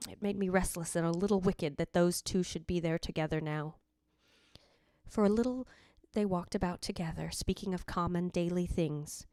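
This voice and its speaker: A woman's voice: fairly low pitch, mellow, dry recording, clean studio sound, natural voice. A woman playing the jealous, anguished ghost of a dead wife, narrating in a literary, theatrical old-time radio drama style. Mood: wistful. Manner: literary, theatrical, deliberate delivery.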